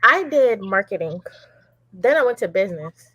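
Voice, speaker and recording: A woman's voice: medium pitch, animated, moderate pace, pushed and bright, breathy edge, no echo, dull audio. A woman in her twenties on a casual panel, rambling and unsure of herself. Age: 20s. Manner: rambling, unsure, self-correcting. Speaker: debate panelist.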